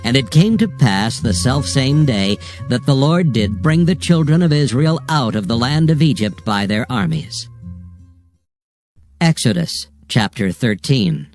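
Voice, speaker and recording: A man's voice: medium pitch, animated, measured pace, a little mellow. A man narrating dramatized scripture in a solemn, declamatory manner, with music behind him. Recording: music bed under narration.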